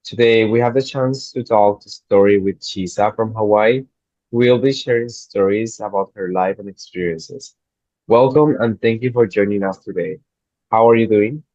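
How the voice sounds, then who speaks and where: A man's voice: lowish voice, normal speed, darkish tone, no room sound, muffled recording. A man hosting a student interview, reading his introductions and questions in an upbeat, friendly way.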